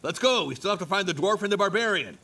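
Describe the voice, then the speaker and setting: An actor playing a bossy, gung-ho adventurer in a comic fantasy video game, commanding and emphatic. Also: male voice, sits high, animated, quick pace, bright, forceful tone, husky, exaggerated voice. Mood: resolute. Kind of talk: video game character acting.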